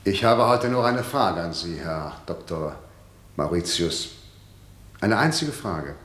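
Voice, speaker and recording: An actor playing a stern, formal, middle-aged prosecutor and authoritarian father, measured and condescending, in a vintage radio play. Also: sits low, expressive, fairly bright tone, slight background noise, full character voice. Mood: formal, pressing. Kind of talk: mid-century German radio drama acting. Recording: roomy 1960 radio drama studio.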